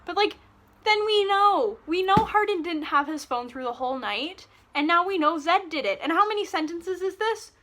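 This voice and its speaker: A woman's voice: extremely high pitch, animated, moderate pace, background noise. Sarcastic young woman ranting about a book. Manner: exasperated, sarcastic, swears freely. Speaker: book reviewer. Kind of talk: casual rant to camera.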